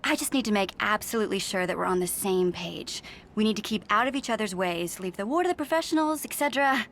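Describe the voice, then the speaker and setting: Game government agent, female actor: American, falsely chipper, condescending. Mid-pitched, faint ambient noise. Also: a bit bright, close to an everyday voice. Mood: condescending, veiled menace. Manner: brisk, insincere, condescending politeness. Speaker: Homeland Security agent. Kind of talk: video game cutscene acting.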